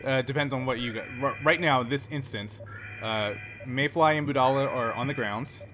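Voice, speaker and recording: A man narrates a tabletop game as its game master. His voice sits at a middling pitch and he hesitates as he thinks through rulings. There is clear noise in the recording. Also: moderate pace. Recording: clearly noisy background.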